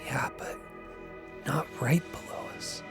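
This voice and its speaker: A man's voice: slow pace, lightly acted. Male actor as anxious young man, questioning, casual talk, audio drama, music underneath. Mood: hushed unease. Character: uneasy young man, Greg, unsettled by strange noises.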